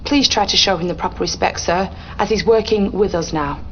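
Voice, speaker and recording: An actress playing a polite, businesslike Allied military officer delivering mission briefings in a game cutscene. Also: female voice, mid-range voice, fairly quick, dull audio, a little hiss or hum, natural voice.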